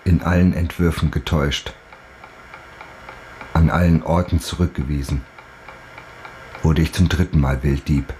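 An actor playing a proud, embittered convict who recounts his downfall in measured, formal phrases in a literary radio play. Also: rumbling low, steady tone, a little mellow, a little hiss or hum. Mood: bitter, defiant. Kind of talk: dramatic first-person monologue in a literary radio play. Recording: studio radio play with music underneath.

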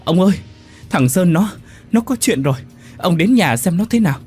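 A man voicing a frightened middle-aged mother who cries and pleads in a shrill voice for her son. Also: animated, fairly quick, a little mellow, soft background music, close to an everyday voice. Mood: panicked.

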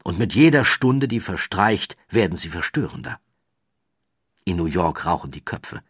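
A man's voice: sits low, very animated, moderate pace, slightly rough, no echo, clean studio sound. A man narrating an audiobook, telling the story dramatically with rising and falling emphasis.

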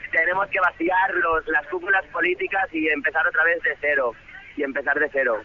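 A man from Barcelona speaking earnestly and haltingly in an unscripted live interview over a muffled phone line from a street. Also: a little high, steady tone, slight background noise. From Barcelona, Spain. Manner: earnest, halting with repeated words. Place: outdoors in a busy city square.

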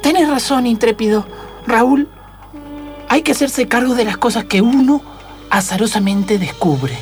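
A woman's voice: fairly high pitch, animated, a little slow, a little mellow, soft background music. A woman playing a theatrical, bossy mother in an improvised radio comedy, coaxing and exclaiming. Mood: grandiose. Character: dramatic, bossy mother on a beach holiday.

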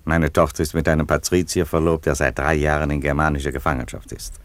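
An actor playing a wry, composed Roman emperor in a radio play, speaking with dry irony. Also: male voice, very deep voice, level tone, fast talker, no room sound. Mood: firm. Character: wry, unhurried Roman emperor, husband and father. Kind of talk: German radio play acting.

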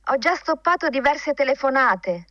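An actress playing a dutiful, businesslike, slightly defensive secretary in a radio drama, in a dull, band-limited recording. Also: female voice, high voice, lively intonation, normal speed, bright, forceful tone, no echo.

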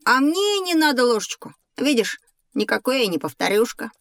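A woman's voice: fairly high pitch, moderate pace, dry recording, studio quality. A woman playing a curious, eager and slightly argumentative schoolboy in an educational audio play. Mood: stubborn. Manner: lively, boyish, inquisitive.

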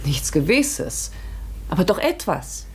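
A woman playing an inquisitive, quick-witted young lady in a radio play, questioning in an animated, husky voice. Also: on the low side, a little hiss or hum. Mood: probing.

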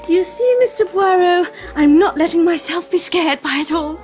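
A flippant, plucky young Englishwoman in a radio drama. Her voice is extremely high, with a breezy, defiant spirit. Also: moderate pace, a little mellow, soft background music. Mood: defiant, giddy.

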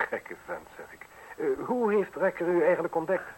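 A man's voice: on the high side, huge pitch swings, unhurried, rough recording. An actor playing a wealthy, shrewd, guarded man in a radio play, with a terse, dry, probing delivery. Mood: proud, amused. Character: wealthy, successful, shrewd and guarded male host. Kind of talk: Dutch radio play acting. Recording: hissy radio drama recording.

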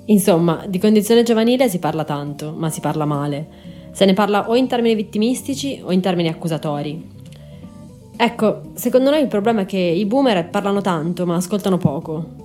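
A woman's voice: on the low side, warm tone. A woman in her twenties hosting a podcast, reading a scripted narration. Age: 20s. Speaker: podcast host and writer.

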